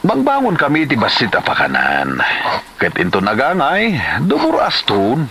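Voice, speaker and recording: A man with an Ilocano accent playing a scheming, smooth-talking gambler husband in a comedy drama, with an animated, exclamatory delivery. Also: medium pitch, moderate pace, slight background noise, full character voice. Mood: coaxing.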